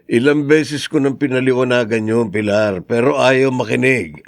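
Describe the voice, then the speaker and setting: A man's voice: mid-range voice, normal speed, no echo, clean studio sound, lightly acted. An actor playing a concerned, protective man in a radio drama, reasoning earnestly with his friends. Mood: exasperated concern. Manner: earnest, questioning. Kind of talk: Tagalog radio drama acting.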